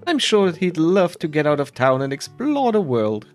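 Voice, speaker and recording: A performer voicing a cheerful, excitable animal villager in a cosy life game, over music. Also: medium pitch, moderate pace, close to an everyday voice. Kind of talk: voiced game dialogue.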